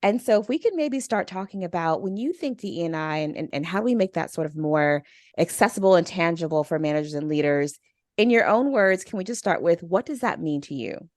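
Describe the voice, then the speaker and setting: A woman's voice: fairly low pitch, animated, fairly quick, dry recording, clean studio sound. A woman hosting a podcast, speaking upbeat and enthusiastic as she introduces the show and asks questions. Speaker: podcast host. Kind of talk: scripted podcast intro and interview.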